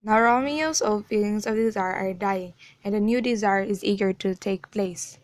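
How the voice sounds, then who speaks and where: A woman's voice: medium pitch, moderate pace, dry recording. A woman reading scripted narration as the chorus of an amateur radio drama.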